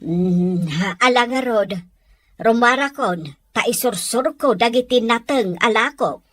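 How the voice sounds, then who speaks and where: An actress as a caring country mother with an Ilocano Filipino accent. Her voice sits fairly high, and she questions gently in radio-drama style, recorded quite dry. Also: a little slow, no echo. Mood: concerned. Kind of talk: audio comedy-drama acting.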